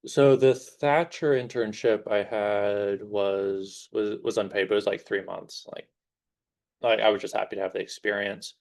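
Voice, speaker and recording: Gravelly young man, voice fading. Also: lowish voice, steady tone, normal speed, a little mellow. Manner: casual, rambling, many fillers and restarts. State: slightly losing his voice. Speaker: guest speaker, security engineer. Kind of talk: informal talk to a class. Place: classroom.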